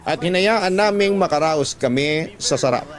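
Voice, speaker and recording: A man's voice: fairly high pitch, lively intonation, moderate pace, soft background music. A man with a Filipino accent reading a listener's love letter as a scripted first-person radio narration.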